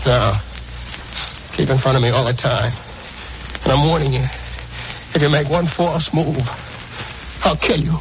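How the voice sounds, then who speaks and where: A man's voice: medium pitch, unhurried, gravelly, long pauses. An actor playing a frightened, agitated man who believes the dead are hunting him, in a 1940s radio horror drama. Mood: tense, threatening. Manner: fearful, agitated, melodramatic. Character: panicky, haunted man convinced a dead woman hunts him. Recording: muffled vintage radio recording with music.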